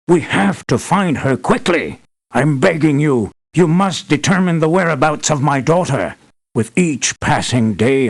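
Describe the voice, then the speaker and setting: An actor playing an earnest, worried father who runs an island compound in a game, speaking formally and dramatically. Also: mid-range voice. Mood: anguished, pleading. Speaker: mission-briefing game character. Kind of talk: scripted game voice acting, briefing.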